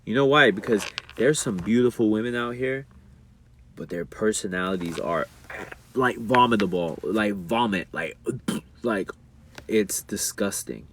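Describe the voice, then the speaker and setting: Young man, lowish voice, casual monologue, slangy asides, gets animated. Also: measured pace, slight background noise. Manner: animated, rises sharply when worked up; reads aloud and comments in slangy asides. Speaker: streamer talking about religion and relationships.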